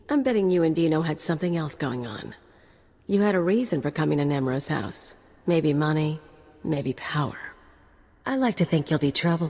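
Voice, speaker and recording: An actress playing a flirtatious, dangerous security chief in a video game, teasing and mocking. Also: female voice, sits low, a little mellow, breathy edge. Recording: reverberant game mix.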